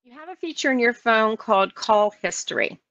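Creaky-voiced American woman giving step-by-step training. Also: on the high side, animated, fairly quick, fairly bright tone, no echo. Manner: instructional, explanatory. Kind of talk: step-by-step software and phone training walkthrough. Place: online meeting screen-share, voice only. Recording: dull lo-fi online meeting audio.

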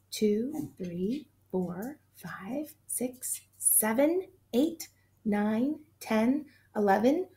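Teacher, woman in her thirties, slightly higher voice, animated storytime delivery for kids, webcam sound. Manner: animated, gushing, talks directly to children. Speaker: teacher reading aloud. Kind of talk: children's read-aloud. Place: small indoor room at home. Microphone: webcam at desk distance.

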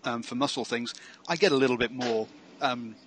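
A man's voice: mid-range voice, moderate pace, fairly bright tone, a little hiss or hum. A man hosting a casual sports chat on a video call, hesitant with many ums and self-corrections. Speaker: show host. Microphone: headset on a video call.

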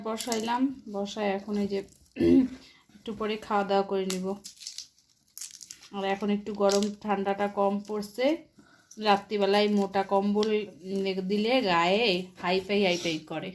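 A middle-aged woman, chatting and gently chiding like a mother on a family phone call. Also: mid-range voice, slight background noise. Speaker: mother on a phone call with her grown son. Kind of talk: casual family phone chat.

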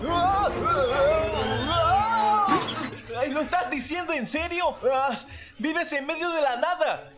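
A performer voicing an eager, impatient young boy in an animated fan dub, whiny and earnest, with a Latin American accent. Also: high voice, slow, loud music behind the voice. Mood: insistent, pleading.

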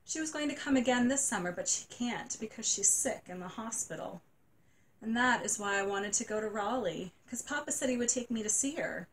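A woman's voice: fairly high pitch, expressive, fairly quick, a little hiss or hum, low-quality recording. A woman voicing a tearful, gushing nine-year-old girl in a storybook reading. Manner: sobbing, breathless and gushing.

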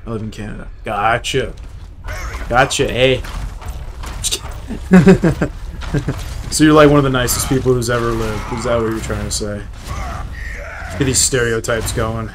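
A man's voice: medium pitch, steady tone, unhurried, long pauses, a little hiss or hum. A young American man streaming a shooter game, joking, swearing and chatting with viewers between fights. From United States. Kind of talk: casual gameplay commentary talking with chat. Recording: game audio and music behind.

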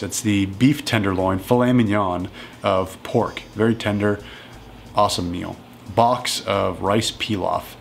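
A man in his thirties casually walking through home meals in his kitchen, relaxed and matter-of-fact. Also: lowish voice, creaky, slight background noise. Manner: relaxed and matter-of-fact, plain step-by-step talk. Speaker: strength coach cooking at home. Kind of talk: casual cooking walkthrough.

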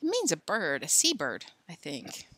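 A woman voicing a relaxed, amused dad. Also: lowish voice, moderate pace, husky, no room sound, exaggerated voice. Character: cheerful, easygoing father in his thirties.

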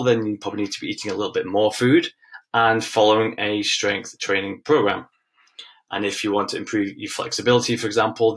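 A man's voice: lowish voice, creaky, fairly bright tone. A man in his thirties giving an informal online fitness lesson in a direct, instructive way, repeating key phrases for emphasis. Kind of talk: informal webinar lesson with slides.

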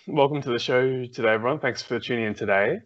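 A man's voice: mid-range voice, fast talker, a little hiss or hum. A young man hosting an interview show, introducing his guest and asking questions in a friendly way over a video call. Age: young adult. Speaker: podcast host. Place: small room indoors. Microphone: video call with earphones. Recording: muffled video call audio.

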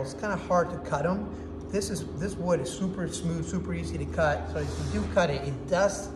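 Enthusiastic guy in his 30s reviewing gear on his phone, long reverb, faint hum behind. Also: a little high. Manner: upbeat, enthusiastic, demonstrating with hands. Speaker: product reviewer. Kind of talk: casual product review to camera. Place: indoor workshop with hard walls. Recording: echoey room, faint background noise.

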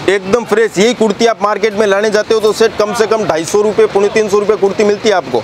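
A young man who owns a fabric shop gives a fast sales tour, listing fabrics and prices in a brisk patter, recorded on a lapel mic in a busy warehouse. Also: high-pitched, steady tone. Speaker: shop owner. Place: crowded fabric warehouse, indoors. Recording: crowd noise in a busy warehouse.

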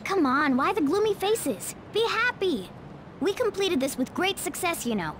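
A woman's voice: very high-pitched, moderate pace, slight background noise, full character voice. A woman voicing a small, bossy, cheerful cat-like mascot creature in a video game, over game music. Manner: bossy, chirpy, animated. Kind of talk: video game cutscene voice acting. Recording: game audio with loud music.

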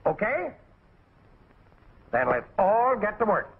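A man voicing a brisk, bossy, wisecracking cartoon animal who gives folksy instruction in a 1940s training film. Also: high voice, very animated, creaky, heavy background noise, full character voice. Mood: brisk, firm. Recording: muffled, tinny 1940s film soundtrack.

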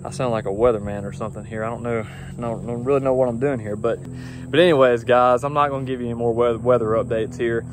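A man's voice: mid-range voice, steady tone, fast talker, noisy background. A young man, talking casually to a phone camera outdoors in a grassy yard, rambling and easygoing with lots of fillers. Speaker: lawn care business owner. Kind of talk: casual vlog talk to camera. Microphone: phone camera at arm's length.

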